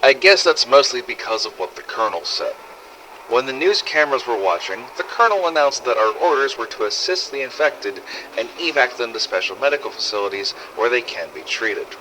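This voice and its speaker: A man's voice: mid-range voice, lively intonation, brisk, pushed and bright, a little hiss or hum, poor audio. A man playing a callous young soldier in a video game audio log, recounting brutal orders. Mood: matter-of-fact.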